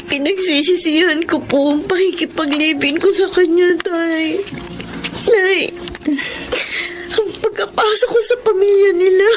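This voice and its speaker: A woman's voice: very high-pitched, poor audio. A woman acting a remorseful young daughter in a Filipino drama, pleading tearfully and respectfully with music under her voice. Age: young adult.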